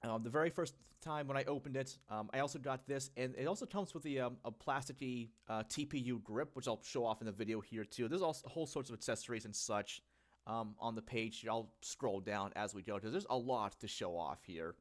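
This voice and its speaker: A man's voice: mid-range voice, fairly quick. Congested young man chatting casually. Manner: rambling, filler-heavy, self-correcting. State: congested, getting sick. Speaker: channel host. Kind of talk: casual solo talk about gadgets.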